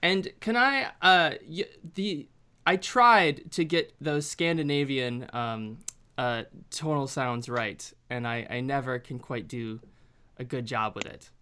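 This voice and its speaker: An American man hosting a podcast, chatting casually in a rambling, halting way with many restarts. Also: medium pitch, bright, forceful tone, smooth. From United States. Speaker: podcast host.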